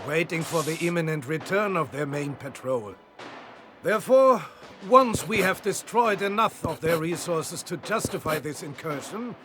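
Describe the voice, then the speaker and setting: An actor playing a stern, determined army officer in a war strategy game, giving mission orders over dramatic music. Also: male voice, high voice, bright, forceful tone, a little hiss or hum. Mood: measured, resolute. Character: commanding German Afrika Korps officer, determined and stern.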